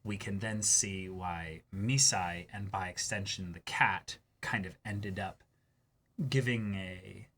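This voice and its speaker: Bright-voiced man chatting as a show co-host. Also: low voice, steady tone, slow, slight background noise. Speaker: co-host of an anime reaction show. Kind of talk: casual discussion and commentary.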